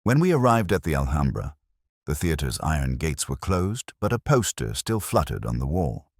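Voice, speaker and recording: Very deep-voiced man narrating a mystery. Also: big pitch swings, dry recording, clean studio sound. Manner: measured, dramatic storytelling. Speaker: narrator of detective audio fiction. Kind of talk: scripted first-person mystery narration, audiobook reading.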